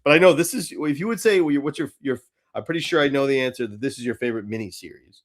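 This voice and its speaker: A man's voice: mid-range voice, fast talker. A man hosting a casual podcast chat, talking bluntly and with strong opinions, swearing freely. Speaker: podcast host. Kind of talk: casual chat about cartoons.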